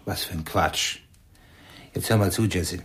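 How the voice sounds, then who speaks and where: A man's voice: deep voice, normal speed, a bit bright. An actor playing a teasing, impatient husband and father in a German radio play. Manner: teasing, needling.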